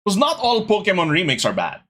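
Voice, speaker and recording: A young man hosting a casual gaming podcast, talking to his chat in an animated, exasperated way. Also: sits high, fairly quick. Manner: animated, exasperated ranting with laughs. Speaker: podcast host.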